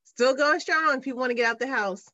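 A woman who runs tours, chatting casually on a podcast in a joking, relaxed way. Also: sits high, fairly quick, dry recording. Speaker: tour guide, podcast regular. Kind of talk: casual chat. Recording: dry, slightly dull sound.

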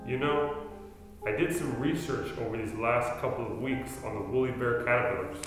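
A man acting in a radio play as an earnest middle-aged storyteller full of wonder, with a deliberate, formal delivery. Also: medium pitch, fairly bright tone, lightly acted. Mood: intrigued. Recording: very echoey hall, music behind.

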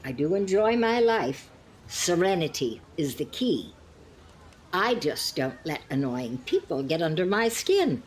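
A woman performs an elderly lady in a radio-play style, genteel and passive-aggressive. Her delivery is slow and very expressive, on the lower side for a woman. She sighs and needles politely, with some noise behind her. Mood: sweetly barbed. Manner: prim, sighing, pointedly polite barbs. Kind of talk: scripted two-hander audio comedy-drama.

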